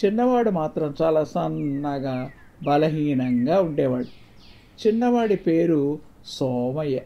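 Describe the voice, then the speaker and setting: A man reading a scripted moral folk tale as a storyteller. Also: on the high side. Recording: dull, muffled lo-fi sound.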